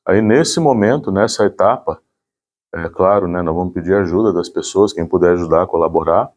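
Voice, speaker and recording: A man's voice: low-pitched, normal speed, darkish tone. A man gives an informal explanation. He speaks as a volunteer presenting community work.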